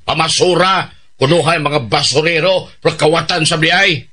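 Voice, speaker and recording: Husky, high-pitched exasperated father. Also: normal speed, bright, forceful tone. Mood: reproachful. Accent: Filipino. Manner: emphatic, dramatic. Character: exasperated husband and father reproaching his wife. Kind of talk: Filipino radio drama acting.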